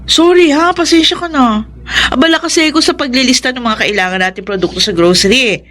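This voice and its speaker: Brisk, high-voiced Filipina wife. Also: female voice, fairly bright tone, slight background noise. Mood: distracted, brisk. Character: busy, trusting wife and shopkeeper, friendly but brisk. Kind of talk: Filipino radio drama acting.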